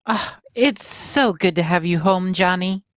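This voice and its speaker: A woman's voice: on the low side, measured pace, no echo, clean studio sound, natural voice. A woman playing a doting, fussy, hospitable mother in a sci-fi radio play, effusive and coaxing. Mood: sympathetic, coaxing.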